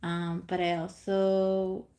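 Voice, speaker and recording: Thirties woman, casual home streamer, slow rambling delivery, lots of ums and soft laughs. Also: fairly low pitch, completely flat delivery. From California. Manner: knits while talking. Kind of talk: casual live-stream chat.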